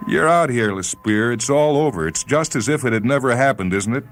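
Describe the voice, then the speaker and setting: Male reader as despairing dying spaceman, bitter, urgent, widely swinging pitch, music behind, radio story. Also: lively intonation, brisk, natural voice. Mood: bitter, taunting. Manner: urgent, pressing, shouting into a telephone.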